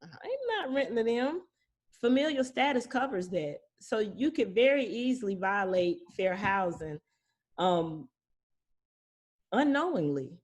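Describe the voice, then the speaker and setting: Woman, property manager, informal explanatory talk, conversational, anecdotal, acts out short exchanges. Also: medium pitch. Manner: conversational, illustrates points with quoted exchanges. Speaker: property manager, guest speaker.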